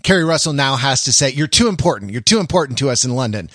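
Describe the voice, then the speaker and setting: A man hosting a podcast, casually talking through a TV show in a halting, hedging way, thinking aloud. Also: medium pitch, animated, a bit bright, no room sound, studio quality. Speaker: podcast host.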